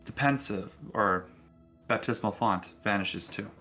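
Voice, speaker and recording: Bright, slow-talking male game master. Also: fairly low pitch, faint music behind. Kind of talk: dramatic narration of a tabletop game.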